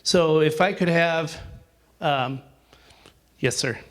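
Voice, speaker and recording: A man's voice: on the high side, slow pace. A man leading a campground owners' discussion in a meeting room, casual and rambling, with many fillers and restarts. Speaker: discussion group leader.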